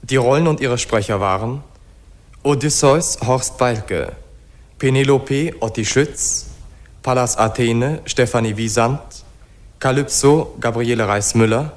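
A man formally announcing the credits of a radio play from a script. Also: medium pitch.

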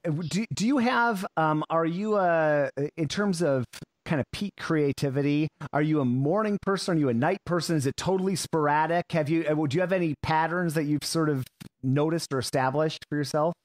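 A man's voice: fairly high pitch, a little fast. A man hosting a podcast, talking casually with many restarts and eager agreement. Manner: stammering restarts, enthusiastic. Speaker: podcast host. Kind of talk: casual interview chat.

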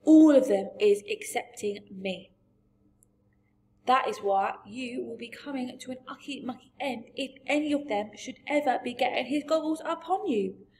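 A woman voicing a big, friendly male giant from a storybook, gentle and boastful, with muddled grammar and emphatic delivery. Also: a little high, normal speed, a little hiss or hum, voice pushed up, close to an everyday voice. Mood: emphatic, warning. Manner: garbled grammar, invented words, emphatic. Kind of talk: storybook character voice.

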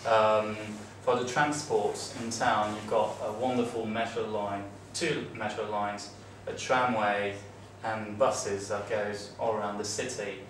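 Young man, french accent, lowish flat voice, far-off echoey classroom, background noise. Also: unhurried, hardly any pauses. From France. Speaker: exchange student on a panel. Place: classroom with projector screen. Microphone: far camera. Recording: echoey room, distant mic, noisy.

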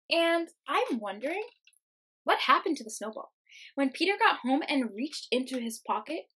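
A young woman, a teacher reading a children's storybook aloud, expressive and explaining things simply for young listeners. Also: sits high, breathy edge. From United States. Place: small room at home, indoors.

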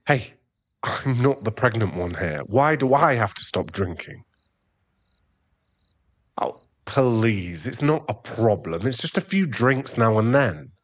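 Male actor as irritable werewolf boyfriend, slow-paced, widely swinging intonation, defensive, hard-drinking, ASMR audio drama. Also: mid-range voice, very animated, muffled recording. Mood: irritated, snapping. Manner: snappish, defensive, sighing.

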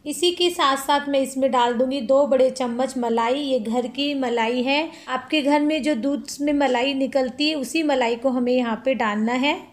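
A woman's voice: high voice, normal speed, hardly any pauses. A woman hosting a cooking channel, chatting step by step through a recipe in a home kitchen and calling her viewers friends.

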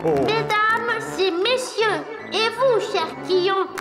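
A woman's voice: very high-pitched, slow pace. A woman voicing a cheerful little wooden puppet boy in a French cartoon, announcing like a showy ringmaster. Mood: showy, proud. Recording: roomy sound under loud music.

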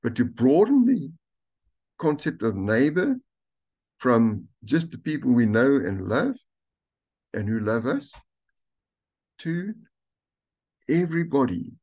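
An older man, a pastor, reading scripture aloud and preaching a sermon slowly and deliberately from a room at home. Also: mid-range voice, very animated, darkish tone, breathy edge. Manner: slow, deliberate reading with stretched words. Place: home room with curtains, indoors.

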